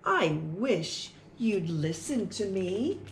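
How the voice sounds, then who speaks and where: A patient, worried mother mouse from a storybook, as a woman reading aloud puts her on. She warns and gently scolds in a soft, sighing, slow voice. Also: medium pitch, big pitch swings, reverberant, a little hiss or hum. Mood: gently reproachful.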